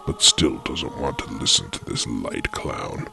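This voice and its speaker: A man narrating a comic audio drama as a storyteller, with a deadpan mock-epic delivery. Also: rumbling low, measured pace, vocal fry. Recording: dramatic music behind the voice.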